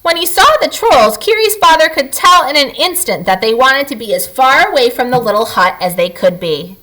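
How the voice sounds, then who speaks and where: Expressive, bright-voiced woman teacher. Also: sits high, moderate pace, low-quality recording. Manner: expressive, addresses children directly as friends. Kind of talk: children's read-aloud and lesson.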